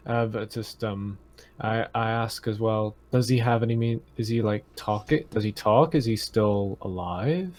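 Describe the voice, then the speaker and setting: A man's voice: fairly low pitch, unhurried, darkish tone. A man chatting casually as a tabletop game player, hesitant and stammering, often repeating himself.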